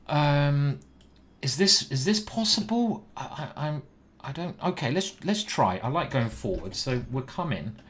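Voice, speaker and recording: A man's voice: lowish voice, slight background noise. A young British man streaming live, chatting casually and thinking aloud about his chess moves.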